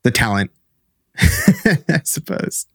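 A man's voice: a little high, wildly swooping pitch, extremely slow, gravelly, breathy edge, no echo, clean studio sound. A man co-hosting a podcast, chatting casually and hedging a lot, often starting over with "I don't know". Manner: hesitant, hedging, full of restarts. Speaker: podcast co-host. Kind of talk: casual chat.

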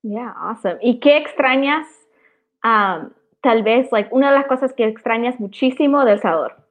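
A woman's voice: fairly high pitch, normal speed. A woman hosting a casual interview, mixing English words into her Spanish. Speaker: host interviewing a guest. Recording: tinny, phone-like call audio.

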